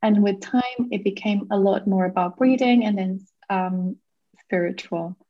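A woman's voice: mid-range voice, unhurried, dull audio. A woman in her thirties from Portugal, a yoga teacher who is a podcast guest, telling her story in a grateful, reflective way with frequent fillers. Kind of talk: conversational interview.

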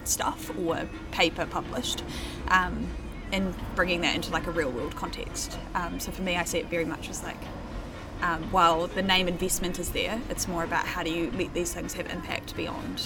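A New Zealand woman with a fairly low voice talks easily as an interview guest, friendly and quick to laugh, filling pauses with ums. She is recorded at an outdoor cafe, and music plays clearly in the background. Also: fairly even intonation. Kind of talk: casual interview.

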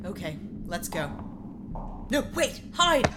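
A wary, determined heroine played by a woman in a sci-fi radio play. She sounds urgent and guarded, her pitch moving widely, in an echoing space with loud music behind her. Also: big pitch swings, slow pace. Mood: urgent whispering. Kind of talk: sci-fi audio drama acting.

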